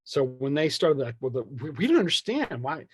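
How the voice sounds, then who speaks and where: A man's voice: on the high side, sing-song, normal speed, breathy edge. A man talking casually and with strong opinions as a podcast guest, slipping into imagined quotes in slangy language. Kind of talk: animated casual conversation.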